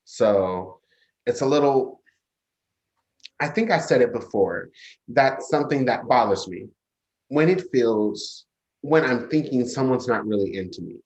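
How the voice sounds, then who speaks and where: Halting, insecure young-lover type, swinging pitch man acting. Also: animated, a little mellow, dull audio. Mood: defensive, vulnerable. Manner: halting, self-interrupting. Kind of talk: staged play reading, naturalistic dialogue.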